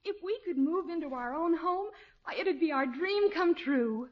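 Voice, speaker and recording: High-voiced dreamy young wife. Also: female voice, animated, moderate pace. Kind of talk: 1950s radio situation comedy acting. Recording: muffled old 1950s radio recording.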